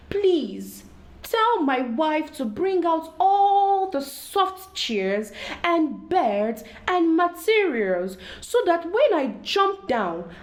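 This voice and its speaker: A woman's voice: sits high, heavily acted. A woman voicing a cunning, greedy male tortoise in a children's storybook, with a formal, persuasive way of talking.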